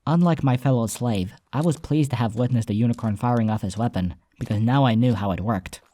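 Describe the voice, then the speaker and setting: A man's voice: medium pitch, fairly quick, warm tone. A man reading a scripted first-person story as an audiobook narrator, with soundtrack music behind him.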